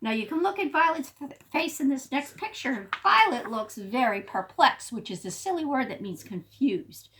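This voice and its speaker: Female picture-book reader for young children: highly animated, expressive, explanatory teacher delivery. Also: moderate pace, hardly any pauses. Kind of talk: animated read-aloud storytelling.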